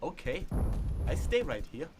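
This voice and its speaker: A man playing a calm, civil young German soldier with a German accent in a student radio drama. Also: on the high side, a little slow, loud music behind the voice. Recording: noisy student radio drama, battlefield ambience.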